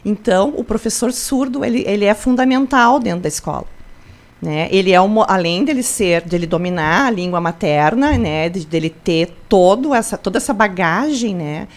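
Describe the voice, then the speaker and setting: A woman's voice: medium pitch, expressive, moderate pace. A woman from Brazil, a school principal, answering interview questions in an explanatory way, with frequent tag questions and restarts. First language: Portuguese.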